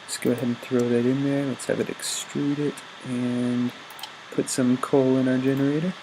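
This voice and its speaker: A man's voice: medium pitch, level tone, normal speed, noisy background. Stuffy young man rambling through a game. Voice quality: stuffy, congested. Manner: rambling, upbeat, lots of ums and self-corrections. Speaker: gaming YouTuber. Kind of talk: casual Let's Play commentary.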